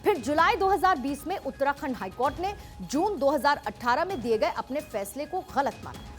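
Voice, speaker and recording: Female news presenter, high voice, scripted explainer delivery over a soft music bed. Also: big pitch swings, moderate pace.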